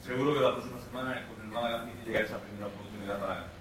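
A man, a sports reporter, asking brisk questions after a match. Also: on the low side, fairly even intonation, fairly bright tone, a little hiss or hum. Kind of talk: interview questions.

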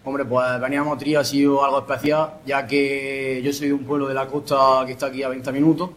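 A young man, a footballer, answering post-match interview questions in a modest, halting way. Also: medium pitch, flat delivery, a bit bright. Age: young adult. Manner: halting, modest, pausing to restart.

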